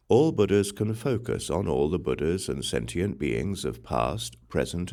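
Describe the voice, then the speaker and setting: Male audiobook narrator for scripture: very deep, creaky register, solemn and measured. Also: a little mellow.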